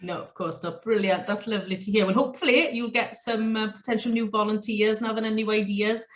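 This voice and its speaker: A woman interviews a guest over a video call from an echoey room. She asks long, rambling questions and responds warmly and encouragingly. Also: mid-range voice, fairly even intonation, a little fast. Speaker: interviewer, host of the virtual fair. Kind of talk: online interview.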